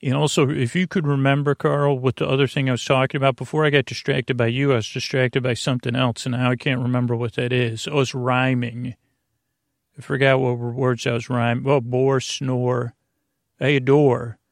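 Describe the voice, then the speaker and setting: Creaky-voiced man rambling through a bedtime podcast. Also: medium pitch, flat delivery, no echo, clean studio sound. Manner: meandering, halting, digressive, trailing off and self-interrupting. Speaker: sleep podcast host.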